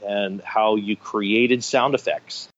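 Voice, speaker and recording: A man's voice: on the low side, steady tone, slow, highs cut off. A middle-aged man telling anecdotes enthusiastically as an interview guest, in a conversational storytelling style. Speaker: guest, radio theater director.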